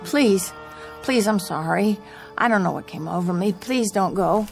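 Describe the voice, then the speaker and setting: A woman's voice: medium pitch, unhurried, vocal fry, faint music behind. An actress playing a haunted, desperate young woman driver in a radio drama, earnest and anxious in her delivery. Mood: desperate pleading. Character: desperate, haunted young woman driving cross-country alone.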